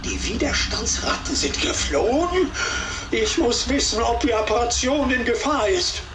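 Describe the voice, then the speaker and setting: Distant, wildly swinging imperious officer. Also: female voice, fairly high pitch, very animated, moderate pace, background noise. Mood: agitated, commanding. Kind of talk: villain acting in a video game. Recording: distant voice under music.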